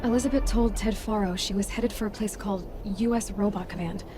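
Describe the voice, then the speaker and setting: A woman's voice: medium pitch, steady tone, fairly quick, music in the background, close to an everyday voice. An actress playing a sardonic, defiant young woman adventurer in a video game, speaking in a wry, blunt, impatient way.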